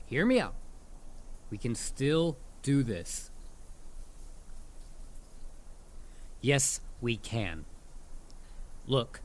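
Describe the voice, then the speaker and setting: A man voicing a chatty, mischievous talking head of a dullahan in a casual, rambling audio roleplay spoken straight to the listener. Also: mid-range voice. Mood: pleading. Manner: casual, rambling, hesitant with stammered repeats. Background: ambient forest sound effects.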